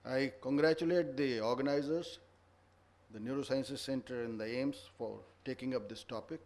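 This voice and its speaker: A man's voice: medium pitch, moderate pace, darkish tone. A man giving a formal address at a conference, earnest and lecturing, stressing each point. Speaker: conference speaker. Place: conference hall.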